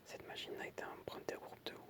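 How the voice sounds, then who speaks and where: Asmr creator whispering the whole time, reading stuff out with casual little asides. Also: fast. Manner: soft whispering, informal and hesitant in asides.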